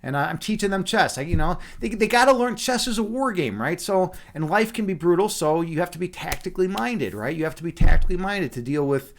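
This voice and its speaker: Chicago streamer, young guy, slightly high voice, easygoing chat, lots of man and dude. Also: lively intonation, fairly quick, hardly any pauses. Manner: laid-back, chatty, frequent "man" and "dude", many restarts. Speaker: streamer and chess instructor. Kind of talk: casual live-stream chat with viewers.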